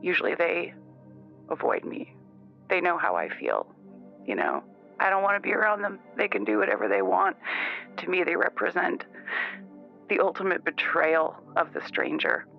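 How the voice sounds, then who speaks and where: A high, gravelly voice broods over muffled radio with music underneath. A man acts out an uneasy, bitter caller in a radio drama, choosing words haltingly and deliberately. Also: big pitch swings, heavily acted. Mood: brooding unease. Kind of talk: improvised actual-play radio drama. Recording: dull lo-fi radio sound.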